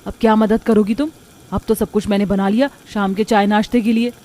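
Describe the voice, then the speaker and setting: Woman acting resentful grumbling daughter-in-law, mellow voice. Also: mid-range voice, fairly even intonation, a little fast, lightly acted.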